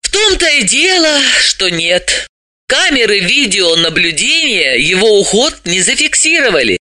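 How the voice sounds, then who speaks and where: Here is a brisk, self-assured woman sleuth from an audiobook, acted out by a man. The voice is pitched high and sounds very bright, swinging widely as it explains with businesslike emphasis and a mocking edge. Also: sing-song, no echo, clean studio sound, exaggerated voice. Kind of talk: audiobook character voice.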